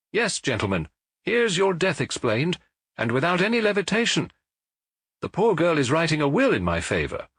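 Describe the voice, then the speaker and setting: Audiobook role: male narrator as a bombastic American fraud prophet, shrill and indignant, pitch leaping about. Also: a bit bright, breathy edge, dry recording, clean studio sound, exaggerated voice. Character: grandiose male American charlatan prophet, blasphemous and furious.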